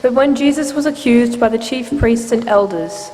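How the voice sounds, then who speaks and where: A woman giving a measured, solemn scripted narration of scripture as part of a dramatic reading. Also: fairly high pitch, a little mellow. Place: inside a church, live reading. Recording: reverberant church hall, faint noise.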